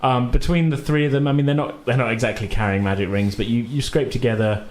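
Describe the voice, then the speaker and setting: A man's voice: medium pitch, fairly even intonation, fast, darkish tone. A man acting as game master, casually explaining the rules of a tabletop game in a hedging way. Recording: roomy echo, faint background noise.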